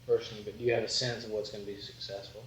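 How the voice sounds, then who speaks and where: A man's voice: on the low side, little pitch movement, racing. Distant, echoey male interviewer. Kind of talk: casual conversational questioning. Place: room in a house, away from mic. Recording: distant, echoey, dull, faintly noisy tape.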